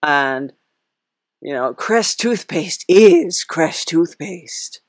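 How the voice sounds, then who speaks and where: A woman's voice: low voice, animated, very slow, no room sound, clean studio sound. A woman hosting a podcast interview in a casual, playful, rambling way, often hesitant and giggly.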